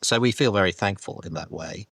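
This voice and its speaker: A man in his fifties with a Canadian accent speaks in a very low, unhurried voice. He answers questions thoughtfully, breaking into soft laughs now and then. The sound is dry and close. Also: dry recording. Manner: measured, thoughtful, laughs softly. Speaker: archbishop guest. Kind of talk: reflective interview answers.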